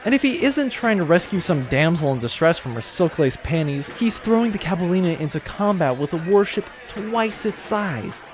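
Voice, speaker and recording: A man's voice: high voice, very animated, moderate pace, hardly any pauses, background noise. A young man playing a sardonic, exasperated accountant on a pirate spaceship in a comedy audio drama, grumbling dryly and wordily. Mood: weary, exasperated. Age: young adult. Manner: dry, grumbling, wordy.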